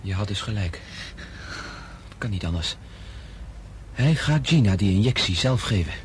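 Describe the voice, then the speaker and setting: Worried low-voiced male doctor. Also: lively intonation, a little slow. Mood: tense. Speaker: radio drama actor. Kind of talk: Dutch radio play acting. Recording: noisy old radio drama recording.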